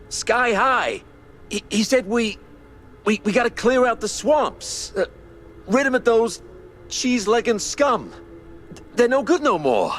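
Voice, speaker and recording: High-voiced, stammering dim henchman cop. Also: a bit bright, exaggerated voice. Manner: halting, stammering, muddled. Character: nervous, dim-witted male henchman cop, cowed and stammering.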